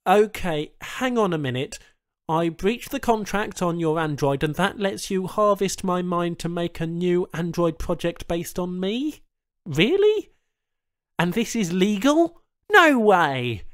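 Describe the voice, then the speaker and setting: An anxious, indignant human prisoner, played by a male audiobook reader, his voice lifted well above a normal talking pitch. He protests and questions with wry disbelief, bargaining with his captors in a science fiction story, recorded dry and close. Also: animated, measured pace, clear, resonant tone, no room sound. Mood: incredulous. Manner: protesting, questioning, wryly incredulous.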